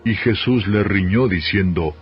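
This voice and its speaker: A man with a Castilian Spanish accent reads scripture as a solemn, measured, formal narration. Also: deep voice. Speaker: Bible reader. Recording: dull lo-fi audio, music behind. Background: music.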